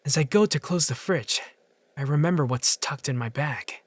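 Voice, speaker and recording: A man's voice: fairly high pitch, moderate pace, no echo, natural voice. A man playing a weary, wry teenage boy who narrates his night in a visual novel fan dub, dry and matter-of-fact. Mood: tired, matter-of-fact.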